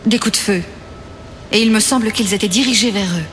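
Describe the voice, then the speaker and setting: A woman's voice: mid-range voice, a little fast, a little hiss or hum, lightly acted. An actress playing a direct, wary young adventurous woman, matter-of-fact and questioning, in a dubbed video game cutscene. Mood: wary, probing.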